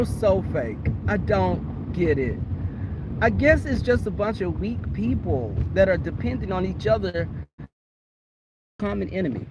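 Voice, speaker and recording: A woman vlogging in a car, talking in a blunt, sassy, opinionated way with a voice that is going hoarse. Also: mid-range voice. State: losing her voice. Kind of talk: casual chat. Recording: noisy car interior.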